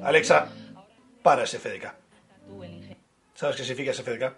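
A man's voice: mid-range voice, normal speed, a bit bright, faint music behind. A man co-hosting a podcast, teasing his partner and laughing often. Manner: teasing, laughs a lot, swears. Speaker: podcast co-host. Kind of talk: casual banter.

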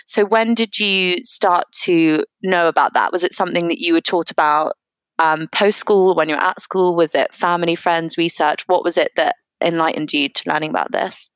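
A woman's voice: lowish voice, steady tone, moderate pace, clear, resonant tone, dry recording. A young British woman hosting a podcast, chatting casually and emphatically with her guest. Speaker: podcast host. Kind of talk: casual conversational interviewing.

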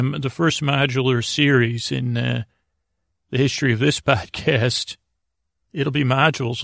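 A man hosting a bedtime podcast in a creaky voice, meandering and muddled, often halting and starting sentences over. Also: medium pitch, fairly bright tone, dry recording, clean studio sound. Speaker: podcast host. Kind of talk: rambling bedtime podcast monologue.

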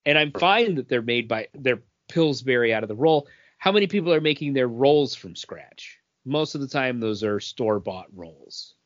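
A man's voice: medium pitch, lively intonation, moderate pace, few pauses, no room sound, dull audio. A man talking casually as a co-host in a podcast panel discussion.

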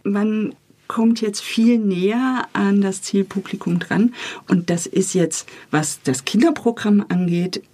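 Husky-voiced middle-aged woman explaining her work. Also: mid-range voice, slow pace, warm tone. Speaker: radio editor and radio drama author, interview guest. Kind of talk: lively explanatory interview talk.

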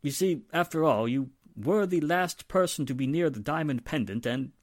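An actor playing a middle-aged, polite and hesitant wealthy father with a measured manner in a 1940s-style radio drama. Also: fairly high pitch, lively intonation, no echo, clean studio sound. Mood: hesitant, insinuating.

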